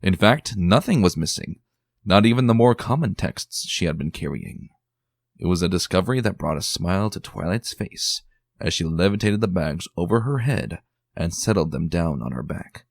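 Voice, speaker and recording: Male narrator, very low gravelly voice, lively swinging delivery, tense theatrical reading, dry close sound. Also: moderate pace, no echo. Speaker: fan reader. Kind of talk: dramatic story narration.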